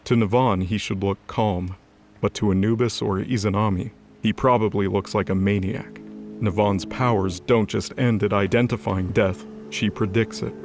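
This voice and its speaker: A man's voice: on the low side, steady tone, normal speed, darkish tone. A man reading scripted, dramatic story narration as the narrator of an audio drama. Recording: music under the voice. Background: music.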